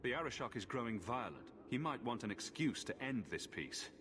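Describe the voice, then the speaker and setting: An actor playing a direct, skeptical adventurer hero in a video game, with a dry, questioning delivery. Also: male voice, mid-range voice, big pitch swings, moderate pace, over music, lightly acted.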